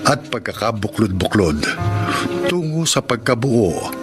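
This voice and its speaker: A man's voice: on the low side, sing-song, a little slow, over music. A man narrating a drama program in a solemn, scripted style.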